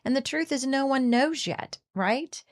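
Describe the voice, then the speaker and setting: A woman's voice: high voice, expressive, measured pace. A middle-aged woman co-hosting a faith radio talk show, speaking earnestly and often restarting her sentences. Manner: earnest, restarts often, acts out quoted speech. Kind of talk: casual faith discussion.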